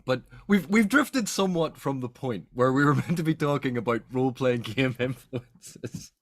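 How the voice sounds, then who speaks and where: A man's voice: mid-range voice, animated, moderate pace, no room sound. Northern Irish man explaining in a dry acoustic. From Northern Ireland. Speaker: host of a book discussion. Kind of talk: animated literary discussion.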